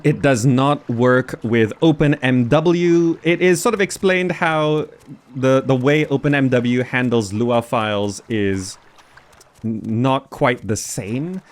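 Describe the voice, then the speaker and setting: A man's voice: mid-range voice, slow pace. A man hosting a gaming video, giving casual commentary and thinking aloud as he goes. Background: faint game music.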